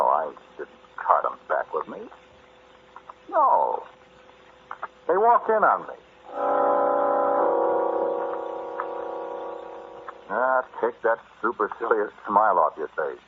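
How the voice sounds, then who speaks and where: An actor playing a brash, sardonic American amateur archaeologist, talking straight at the listener in a 1940s radio drama monologue. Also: male voice, low-pitched, phone-quality sound. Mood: scornful, insistent. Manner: conversational, sardonic, dismissive, addressing the listener directly. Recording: noisy, band-limited 1940s radio recording.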